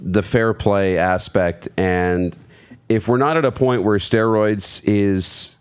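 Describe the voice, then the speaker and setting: Earnest lower-pitched man on sports panel. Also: slow pace, clear, resonant tone. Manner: earnest, explanatory, seeks agreement. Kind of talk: casual discussion.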